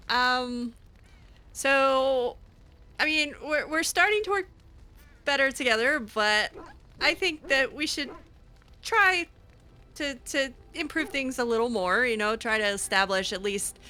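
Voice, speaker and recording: A woman voicing a kind, awkward young mage in improvised video game roleplay, speaking hesitantly and gently. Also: a little high.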